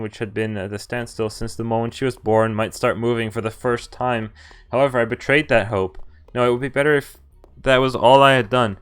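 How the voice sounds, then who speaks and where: Man reading as anguished lovelorn young man, clear-voiced. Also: medium pitch, fairly bright tone, natural voice. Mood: remorseful. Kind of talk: dramatic read-aloud of visual novel narration.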